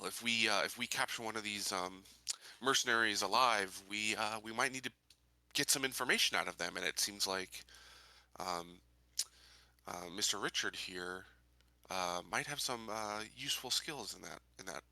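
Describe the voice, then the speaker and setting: A man with a fairly low but very bright, hard-edged voice improvises dialogue in a tabletop game. His phrasing stops and starts hesitantly. The sound is very dry. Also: unhurried, gravelly, pauses often, no echo. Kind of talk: casual in-character improvised dialogue.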